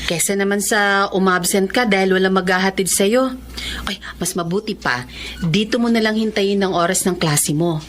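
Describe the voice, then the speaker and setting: A woman's voice: medium pitch, normal speed, slight background noise, lightly acted. A woman with a Filipino accent playing a caring, encouraging teacher of blind students in a radio drama, motherly and reassuring. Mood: friendly.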